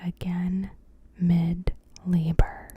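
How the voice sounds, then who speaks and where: A woman ASMR host narrating a recap in a soft, breathy whisper, with hushed, deliberate phrasing and gaps between phrases. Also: on the low side, flat delivery, dull audio, a little hiss or hum.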